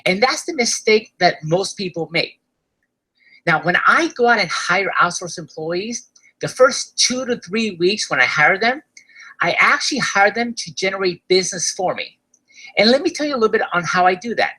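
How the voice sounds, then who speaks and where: A man with an Argentine accent giving an upbeat webinar sales pitch for his online course, often tagging his sentences with "right?". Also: animated, moderate pace, bright, forceful tone, slightly rough, rough recording. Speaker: course seller presenting a webinar.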